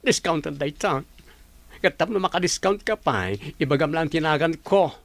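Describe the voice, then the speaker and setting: A woman's voice: low-pitched, normal speed, creaky, no echo. A woman playing a flamboyant, vain young friend in an Ilocano comedy drama, teasing and drawing out her words. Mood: breezy, coaxing.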